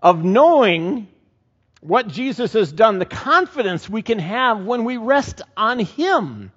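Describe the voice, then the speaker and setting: A middle-aged man preaching a sermon and leading a closing prayer, slow and earnest with frequent pauses, on a headset mic. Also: high-pitched, big pitch swings, a bit bright, breathy edge. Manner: slow, earnest, pausing often. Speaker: pastor. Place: church stage, indoors. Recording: dull church recording with long reverb.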